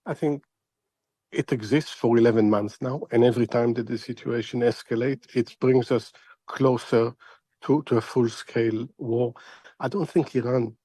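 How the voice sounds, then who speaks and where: Guy doing analyst interview, keeps repeating words while thinking, medium pitch, no room echo. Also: steady tone, mellow, slightly rough. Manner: halting, repeats words as he searches for phrasing. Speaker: foreign affairs analyst, interview guest. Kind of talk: live interview commentary.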